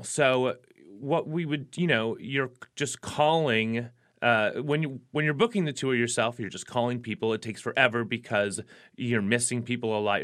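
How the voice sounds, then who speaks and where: A man's voice: mid-range voice, fairly bright tone. A man in his forties, a musician guest, talking casually in an interview with lots of fillers and restarts. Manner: rambling, frequent fillers and restarts.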